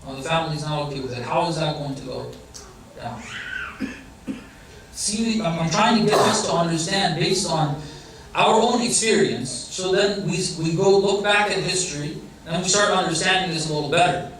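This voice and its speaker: A man's voice: on the high side, normal speed, a bit bright. A man giving a religious lecture in a conversational way, often putting questions to the audience. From Iranian family background. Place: large hall or center. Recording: very echoey hall, heard from a distance.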